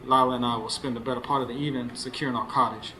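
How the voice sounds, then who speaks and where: An actor playing an earnest, scheming Southern husband in a radio drama, with a folksy, confiding way of talking. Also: male voice, medium pitch, steady tone, racing, close to an everyday voice. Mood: confiding, rueful. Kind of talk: radio drama acting with first-person narration. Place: stage before a live studio audience. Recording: live radio show with clear background noise.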